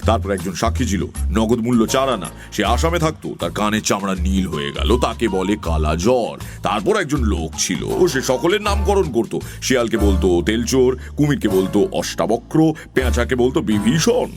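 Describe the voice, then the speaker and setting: A man's voice: on the low side, big pitch swings, moderate pace, hardly any pauses, over music. A man playing a cheeky, argumentative crow accountant with a rambling mock-official manner in a children's audio story. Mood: boastful, rambling.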